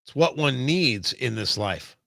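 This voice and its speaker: Bright, forceful male live-stream host. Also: mid-range voice, expressive, a little slow, vocal fry, no room sound, studio quality. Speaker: talk show host. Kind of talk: casual live-stream talk with viewers.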